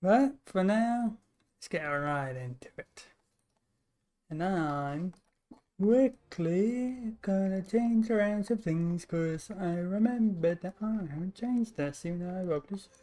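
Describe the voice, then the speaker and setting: A woman giving casual gameplay commentary as a streamer, halting, with murmured asides. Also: mid-range voice, expressive, dark tone. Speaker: streamer playing a game.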